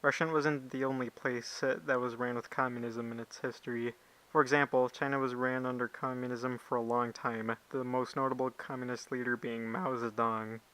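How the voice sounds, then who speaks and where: A man's voice: medium pitch, level tone, moderate pace, sharp tone, poor audio. A man reading scripted explainer narration for a puppet show.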